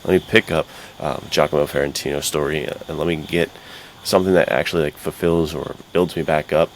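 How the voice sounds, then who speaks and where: A man with an exceptionally deep, bass voice co-hosting a casual podcast. He speaks earnestly and informally, in a conversational flow. There is faint noise in the background. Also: fairly even intonation, fairly quick, low-quality recording. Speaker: podcast co-host.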